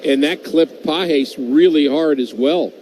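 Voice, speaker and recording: A man's voice: fairly high pitch, slow, darkish tone. A man giving color commentary on a baseball radio broadcast, talking loosely and thinking aloud. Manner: conversational, thinking aloud with fillers. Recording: noisy broadcast audio.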